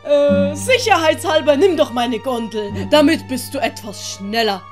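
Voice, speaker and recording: Woman playing a slow, fussy old doctor. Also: high-pitched, music in the background. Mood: reassuring. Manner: deliberate, hesitant, fussily solicitous. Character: fussy, kindly old male doctor scholar. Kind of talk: German audiobook commedia dell'arte acting.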